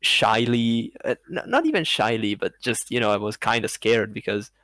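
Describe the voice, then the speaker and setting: A man as a podcast guest, telling a story in a rambling, animated way with laughs between phrases. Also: on the low side, normal speed, no room sound. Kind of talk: casual podcast chat.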